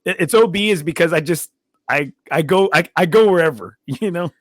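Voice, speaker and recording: Blunt forty-something guy, kinda high voice, dry close sound, swears casual. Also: a little fast, no echo. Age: 40s. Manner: blunt, unfiltered, swears freely. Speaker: podcast guest; coach. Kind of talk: casual chat.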